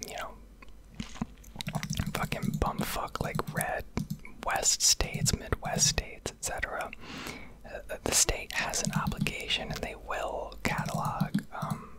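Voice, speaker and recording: Woman whispering an ASMR rant. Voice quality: breathy unvoiced whisper. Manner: profane, opinionated, hesitant pauses. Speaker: ASMR gaming streamer. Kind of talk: whispered ASMR rambling rant over gameplay.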